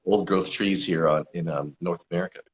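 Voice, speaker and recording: A man with a lowish voice, giving steady, informative answers as a forest conservation campaigner. His talk carries frequent "um"s and "uh"s, and the recording sounds dull and lo-fi. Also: a little fast. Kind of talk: explanatory interview answers.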